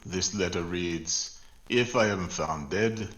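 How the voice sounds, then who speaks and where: A man playing a weary, sardonic older Portuguese police chief in a 1940s-style radio drama, speaking deliberately and with dry scorn. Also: low voice, a bit bright. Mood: dry, menacing. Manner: slow, deliberate, dryly scornful.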